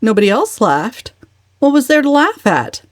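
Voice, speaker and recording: A woman's voice: on the high side, sing-song, moderate pace, no echo, studio quality. A woman giving a dramatic, scripted reading of a novel as its narrator. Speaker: audiobook narrator.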